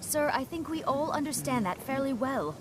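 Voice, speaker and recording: An eager, impatient young female mage from a fantasy game, with a high voice. She is confident and challenging, heard over clear background noise. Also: expressive, moderate pace. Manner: insistent, challenging. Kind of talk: video game character dialogue.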